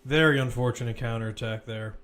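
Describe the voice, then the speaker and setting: A man giving live play-by-play football commentary as a commentator, measured but lifting when attacks build. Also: mid-range voice, fairly even intonation, fairly bright tone.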